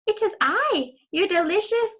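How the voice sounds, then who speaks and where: A woman voicing a sly storybook wolf who puts on a falsely sweet, high feminine voice. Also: animated, unhurried, fairly bright tone, highs cut off, heavily acted. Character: sly male wolf mimicking a sweet female voice. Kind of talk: storybook character voice.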